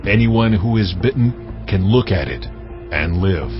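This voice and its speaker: A man's voice: low voice, animated, a little slow, muffled recording, music in the background. An actor playing a solemn, commanding male deity with an authoritative manner in a dramatized audio Bible.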